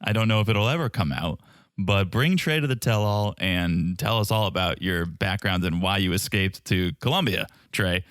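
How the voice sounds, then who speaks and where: A man's voice: low-pitched, normal speed, a bit bright, no echo, clean studio sound. A man with a North American accent co-hosting a podcast, chatting casually with a playful, teasing manner. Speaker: podcast co-host. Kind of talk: casual banter and TV recap chat.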